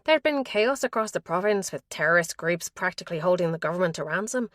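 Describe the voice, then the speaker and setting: A woman narrating a mystery thriller audiobook in a measured, tense storytelling manner. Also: fairly low pitch, lively intonation, no room sound.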